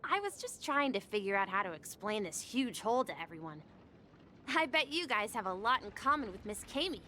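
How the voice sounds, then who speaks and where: A woman voicing a friendly young guide in a video game, expressive and reassuring, with music behind her. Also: medium pitch, brisk, a little hiss or hum. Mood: cheerful, welcoming. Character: bright, friendly young female tour guide.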